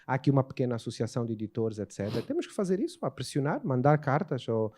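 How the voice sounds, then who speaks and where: A man with an Angolan Portuguese accent, an author talking as a guest in a relaxed interview, animated and often voicing other people in quick asides. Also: medium pitch.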